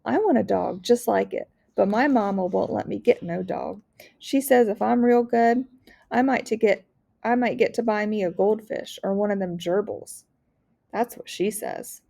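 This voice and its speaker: A chatty little storybook girl, acted by a woman, wide-eyed and wistful. Her childish, ungrammatical chatter sits a bit higher, with a mellow tone. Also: moderate pace, creaky. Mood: wide-eyed longing. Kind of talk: storybook read-aloud character voice.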